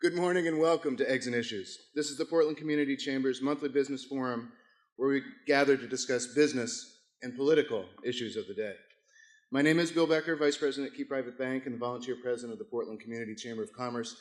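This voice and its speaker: A hoarse man, hosting a breakfast business forum as chamber president and reading the sponsor list in a formal, scripted way, now and then stumbling over a word. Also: mid-range voice, fast. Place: large event hall. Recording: echoey banquet hall.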